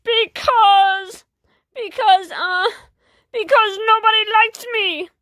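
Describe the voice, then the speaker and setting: Woman as storybook villain fish, grumpy, emotional, halting delivery, high voice, very dry sound. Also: sharp tone, no room sound, heavily acted. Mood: upset, whining. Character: robot-powered villain fish, grumpy, wounded, lonely.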